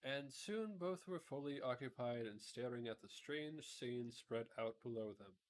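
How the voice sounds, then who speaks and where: A man's voice: mid-range voice, expressive, unhurried. A man narrating a children's fantasy book aloud, often stumbling over words and correcting himself. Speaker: amateur storybook reader, streamer.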